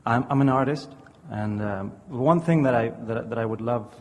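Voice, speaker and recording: A long hall reverb trails a man with an average-pitched voice. He is an audience member speaking off the cuff about himself, and his phrasing is tentative and halting as he works toward a question. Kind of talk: unscripted personal sharing.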